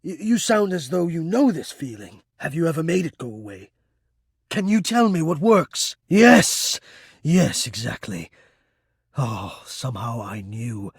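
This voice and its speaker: An actor playing an anxious, lovelorn, poetic spirit of the ductwork in a video game, speaking in a theatrical, florid, halting and earnest way. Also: male voice, fairly high pitch, expressive, creaky, husky, no echo, clean studio sound, heavily acted. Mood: earnest, hesitant hope. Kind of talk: video game character acting.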